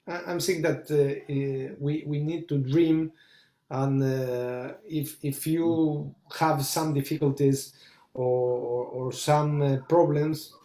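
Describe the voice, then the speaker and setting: A man, a rabbi talking in a halting way, stopping often to search for words. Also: medium pitch, a little mellow, roomy sound. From Argentina. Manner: halting, searching for words, many fillers and restarts. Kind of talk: conversational discussion, switching between English and Portuguese.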